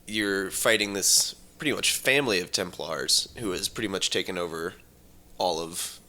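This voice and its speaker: A man co-hosting a podcast, explaining things casually in halting pieces. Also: sits low, pushed and bright. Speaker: podcast co-host. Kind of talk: casual chat.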